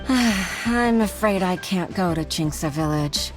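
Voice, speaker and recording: A woman's voice: lowish voice, animated, slow, music in the background, low-quality recording. A woman playing an apologetic, grateful and somewhat troubled young colleague in a video game. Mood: regretful.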